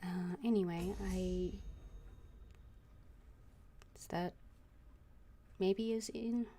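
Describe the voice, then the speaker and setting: A woman game streamer chatting casually to viewers in a hesitant, halting way. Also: on the low side, fairly even intonation, dark tone, a little hiss or hum. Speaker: game livestreamer. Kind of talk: casual livestream chat.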